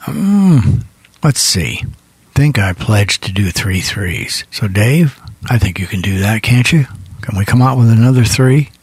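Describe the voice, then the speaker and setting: A very husky man hosts a music radio show from a studio. His voice sits low and he talks in a folksy, rambling, unhurried way. The sound is close and dry. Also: lively intonation, no room sound. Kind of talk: casual music radio hosting.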